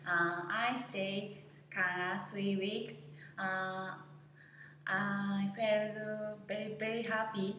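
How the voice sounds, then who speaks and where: A young woman from Japan with a Japanese accent, introducing herself in halting learner English. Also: medium pitch, steady tone, bright, forceful tone. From Osaka, Japan. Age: young adult. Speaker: student. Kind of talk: short self-introduction to camera. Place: classroom, standing before camera. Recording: echoey classroom, some background noise.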